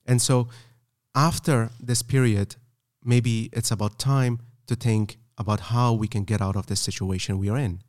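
A man hosting a podcast, talking earnestly with many pauses and fillers. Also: mid-range voice, steady tone, a little mellow, no echo, clean studio sound. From Afghanistan. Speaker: podcast host. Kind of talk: casual podcast conversation. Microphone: podcast microphone.

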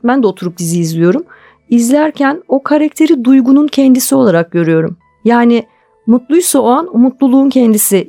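A woman with a fairly high voice talks as a guest in a radio interview. She explains her views in a conversational, reflective way, with music behind her. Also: warm tone. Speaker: interview guest, television drama producer.

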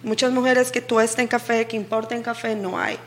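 A woman's voice: on the high side, fairly even intonation, brisk, fairly bright tone. A woman with a Costa Rican Spanish accent, chatting casually as an interview guest and acting out remarks as she tells stories. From Costa Rica. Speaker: guest, coffee business owner.

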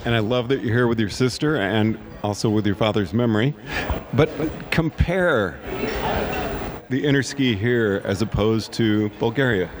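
A man's voice: on the low side, normal speed, noisy background. A man hosting an interview show, asking guests friendly, easygoing questions from a studio in a ski lodge bar. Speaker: podcast host.

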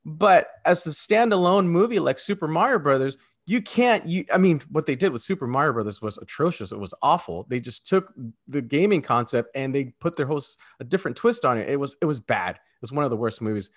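A man's voice: fairly high pitch, animated, a little fast. A man in his forties talking casually and emphatically as a podcast co-host, like an enthusiastic fan. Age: 40s. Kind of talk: casual chat.

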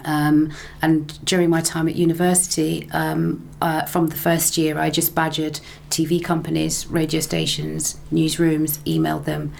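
A woman's voice: deep voice, completely flat delivery, normal speed, gravelly, few pauses, a little mellow, slight background noise. A middle-aged woman, casually telling her story in an interview, chatty and laughing easily. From England. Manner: chatty, laughs often, repeats phrases. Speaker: interview guest, broadcaster and lecturer.

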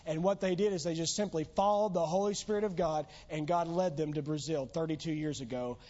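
A man's voice: on the high side, steady tone, brisk, dark tone. A pastor preaching a sermon in church, losing his voice, with earnest, emphatic exhortations. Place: church sanctuary. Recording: dull lo-fi church recording.

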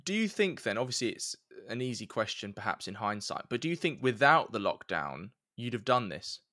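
A man's voice: on the low side, very animated, a little fast, sharp tone, dry recording. Man hosting a podcast, dry sound. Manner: friendly, informal, calls guest "pal" and "mate". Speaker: podcast host. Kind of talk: reading a scripted intro, then interviewing.

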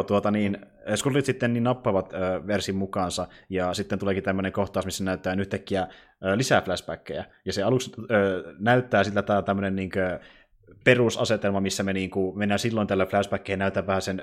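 A man co-hosting a podcast, talking casually about films and pausing to pick his words. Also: deep voice, fairly even intonation. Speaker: podcast co-host. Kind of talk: casual film discussion and plot recap.

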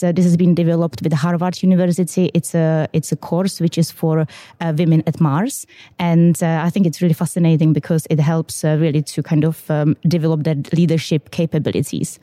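Low-voiced Czech woman, dry sound. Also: dark tone, no echo. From Czech Republic. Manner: hesitant, many fillers, upbeat. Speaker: guest, quality systems manager. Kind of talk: podcast interview.

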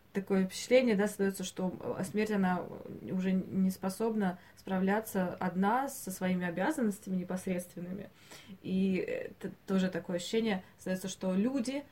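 Low-pitched woman in casual discussion. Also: darkish tone. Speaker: podcast co-host. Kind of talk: casual discussion of animated films.

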